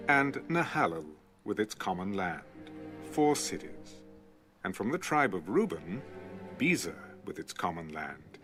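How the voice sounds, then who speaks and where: A man with a lowish voice reads scripture in a measured recitation, moving through lists of names. His pitch rises and falls in a lively way. Music plays clearly underneath him. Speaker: audio Bible narrator. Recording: music bed under the reading. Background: background music.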